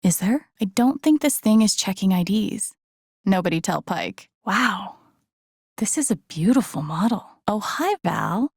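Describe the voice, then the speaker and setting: Young woman game heroine, low-key n wry, bit of vocal fry, super dry close sound, kinda thinking out loud. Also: animated, unhurried, no room sound, exaggerated voice. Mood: light, curious. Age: young adult. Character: introspective young woman, gentle, wry, quietly curious, empathetic. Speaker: video game protagonist. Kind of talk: naturalistic game voice acting, inner-monologue remarks.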